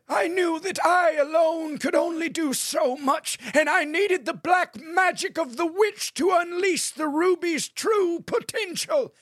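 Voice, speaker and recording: High-pitched, grandiose megalomaniac villain. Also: male voice, no echo, clean studio sound, exaggerated voice. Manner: grandiose, loud declaiming. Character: megalomaniac male villain tycoon turned self-declared god. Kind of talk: theatrical audio drama acting.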